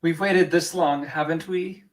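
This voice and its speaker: A man voicing a tender, lovesick young woman in a slow, theatrical manner, in an amateur script reading. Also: a little high, steady tone, voice pushed up, lightly acted. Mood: wistful. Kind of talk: amateur script read-through.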